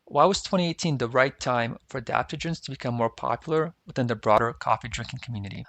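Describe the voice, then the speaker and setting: A man's voice: medium pitch, fast talker, a bit bright, dry recording. A male podcast host who asks direct, inquisitive questions of his guests. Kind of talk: interview questions.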